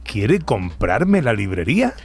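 Radio play lead for a male actor: idealistic young Spanish-bookseller, lowish voice, eager, halting delivery, faint music bed. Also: very animated, gravelly, darkish tone, slightly rough, exaggerated voice. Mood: polite, firm. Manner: eager, halting with repeated phrases. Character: earnest, idealistic young small-town bookseller, man in his twenties. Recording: music under the voice.

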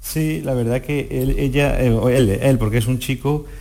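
A man with a dark, mellow voice speaks as a medical guest on the radio. He explains in a didactic way, repeating key phrases to drive them home. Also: mid-range voice, normal speed, creaky. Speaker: doctor, voice specialist guest.

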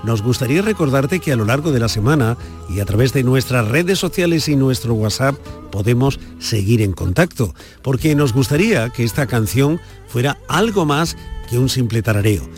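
Male radio host, lively and chatty, over faint music. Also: medium pitch, normal speed. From Andalusia. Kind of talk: radio program presenting and interview. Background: music bed.

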